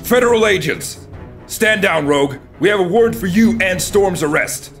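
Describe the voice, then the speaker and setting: An actor playing an aggressive federal agent squad leader in an audio drama, barking commands. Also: male voice, sits high, expressive, moderate pace, creaky, fairly bright tone, faint music behind, heavily acted. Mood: commanding.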